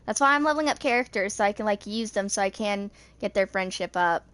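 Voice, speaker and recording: A young woman in her twenties gives casual, rambling commentary while gaming. Her voice sits at an average female pitch. She speaks informally, as if thinking aloud, and the sound is dry with little room around it. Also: quick pace, fairly bright tone, no room sound. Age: 20s. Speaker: gaming video creator.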